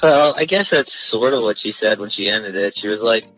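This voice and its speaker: Bright-voiced young man, slangy. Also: fairly low pitch, expressive, fairly quick, faint music behind, poor audio. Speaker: radio show caller. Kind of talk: casual storytelling on a call-in radio segment.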